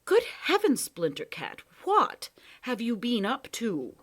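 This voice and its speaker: A woman's voice: a little high, huge pitch swings, slow pace, voice pushed up, full character voice. A woman voicing a scheming male creature who speaks casually and with mock politeness. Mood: smug. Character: smooth, scheming male creature, cheerfully menacing.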